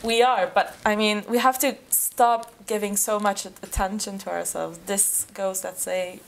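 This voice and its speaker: A woman's voice: mid-range voice, steady tone, moderate pace, creaky, slight background noise. A young woman from Lebanon, a native Armenian speaker, talking earnestly as a podcast guest, often restarting as she searches for words. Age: young adult. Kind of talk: casual conversation.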